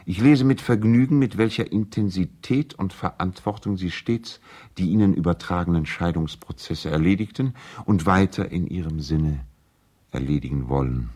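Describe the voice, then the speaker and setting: An actor playing a courteous, idealistic male lawyer in a radio play, earnest and gently ironic, speaking in a formal, measured, eloquent way. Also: low-pitched. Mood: polite irony. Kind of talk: radio drama letter monologue.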